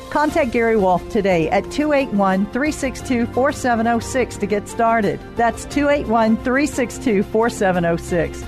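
A woman reading radio advertisements in a smooth, polished way. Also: a little high. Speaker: ad announcer. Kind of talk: scripted radio ad read. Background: music bed.

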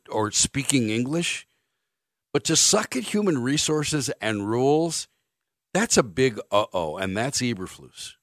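A man hosts sports talk radio, his delivery blunt and sarcastic. His pitch swings widely as he rants. The studio mic sounds close and dry. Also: fairly bright tone, no room sound. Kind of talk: opinionated sports radio monologue. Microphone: studio microphone.

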